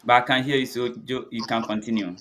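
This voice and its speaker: A man's voice: medium pitch, fairly even intonation, a little fast, fairly bright tone, slight background noise. A man hosting a webinar over a video call, speaking hesitantly with fillers. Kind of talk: casual chat.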